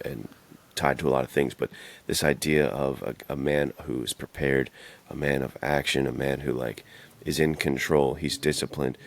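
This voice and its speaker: A man with an extremely low voice and a clear fry to it co-hosts a podcast. He talks casually and keenly, in slangy, buddy-to-buddy fashion. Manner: bro-ish, enthusiastic, slangy. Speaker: podcast co-host. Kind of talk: casual chat about adventure fiction.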